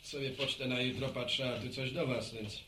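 A man's voice: medium pitch, steady tone, brisk, noisy background, natural voice. A male actor playing a modest, chatty village postman and storyteller in a radio drama, with a folksy, reminiscing manner. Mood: friendly, modest. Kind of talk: Polish radio serial acting.

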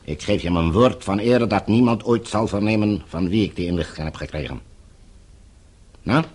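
An actor playing a falsely courteous, menacing captor and regime agent in a Dutch radio drama, speaking in measured, formal, condescending phrases. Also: male voice, fairly low pitch, a little mellow, husky. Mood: cold, demanding.

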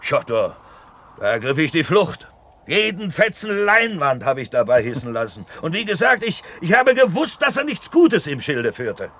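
An actor playing a grizzled, blustering grey-haired merchant captain in a radio drama, with a hoarse, salty, emphatic delivery. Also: male voice, on the high side, very animated, moderate pace, fairly bright tone. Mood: earnest, emphatic. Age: older. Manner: bawling, emphatic, salty storytelling. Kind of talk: 1970s German radio drama acting.

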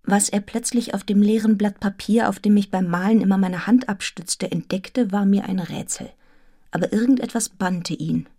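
Woman playing young schoolmistress telling her story, radio play, earnest n composed, normal pitch. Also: steady tone, normal speed, warm tone, lightly acted. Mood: composed narrating. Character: earnest, observant, forthright young schoolmistress narrator. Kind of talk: German radio play acting and literary narration.

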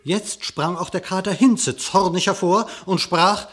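A man narrating a literary radio drama in verse, with a measured, declamatory delivery. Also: sits high, fairly bright tone.

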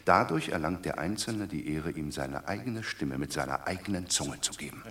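A shrewd Roman commoner with a very deep voice that rises and falls a great deal. He reasons in a wry, talkative way, as in an old radio play. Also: animated, normal speed, bright, forceful tone, heavily acted. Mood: wry reasoning. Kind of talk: classic stage radio drama.

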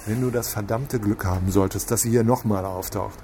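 A man's voice: fairly low pitch, steady tone, fairly quick, warm tone, a little hiss or hum, lightly acted. A man playing a short-tempered police boss in a radio crime drama, sarcastic and commanding. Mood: angry, sarcastic.

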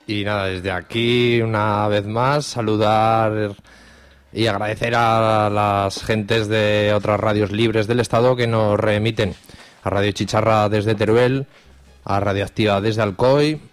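A man with an accent from Spain co-hosting a radio show in casual, halting phrases on a studio microphone. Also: on the low side, little pitch movement, faint music behind. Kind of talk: casual live radio chat.

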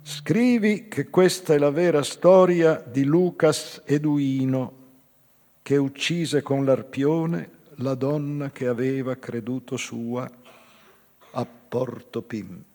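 An older male actor playing a weary, melancholy old man confessing a past crime in a halting, raspy theatrical monologue. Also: mid-range voice, mellow. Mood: solemn. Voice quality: raspy, husky, breathy. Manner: halting, slow, dramatic pauses. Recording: live music behind the voice.

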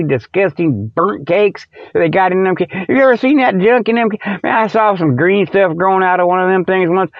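Male comedy podcaster, colloquial and digressive mock pitchman, somewhat high voice, dry close sound. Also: no room sound. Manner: folksy, colloquial speech. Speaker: podcast host. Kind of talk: rambling comedic monologue. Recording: dull, lo-fi radio-style audio.

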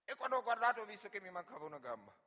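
An actor playing an earnest, wounded migrant worker in an emotive theatrical monologue. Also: male voice, sits high, big pitch swings, very fast, pushed and bright, heavily acted. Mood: anguished, frantic. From Senegal. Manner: emotive, storytelling delivery with repeated phrases. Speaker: stage actor and storyteller. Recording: reverberant hall.

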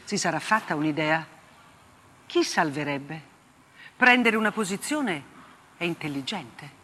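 An older actress playing a haunted, bitterly ironic woman journalist in a theatrical radio monologue, speaking deliberately and emphatically. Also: deep voice, lively intonation, bright, forceful tone, full character voice. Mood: biting, mocking. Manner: emphatic, deliberate delivery with rhetorical repetition. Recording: music bed behind the voice. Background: plucked guitar-like music.

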